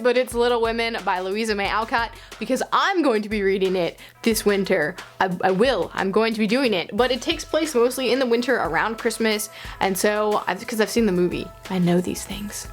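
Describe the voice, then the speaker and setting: Young woman vlogger, highish voice, chatting casually w playful asides, music playing behind her. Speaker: book vlog host. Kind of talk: casual chatty recommendations to camera.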